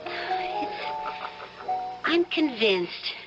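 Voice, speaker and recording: An actress playing a judge's wife, anxious and quietly insistent, in old-time radio drama style. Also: female voice, sits high, big pitch swings, music in the background, full character voice, poor audio. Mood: distressed conviction. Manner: earnest, halting emphasis.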